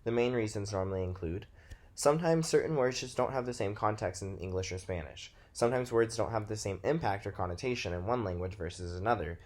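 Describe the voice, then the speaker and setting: Low-voiced young Texan man. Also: a little mellow. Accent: Texas American. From Texas, United States. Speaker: student presenter. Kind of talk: scripted presentation narration.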